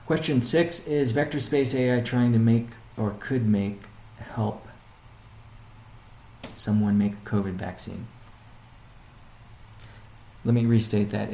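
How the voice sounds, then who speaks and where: A man speaking as a chief executive on a conference call, answering questions in a halting, deliberate way with pauses and restatements, in a roomy recording. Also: on the low side, creaky, warm tone, a little hiss or hum. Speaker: company CEO hosting a weekly conference call. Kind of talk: question-and-answer business briefing. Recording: roomy, echoing sound.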